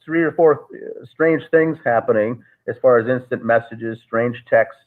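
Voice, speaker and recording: A man, a guest on a call, recounting events in a measured, halting way with frequent restarts. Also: medium pitch. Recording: tinny phone-like call audio.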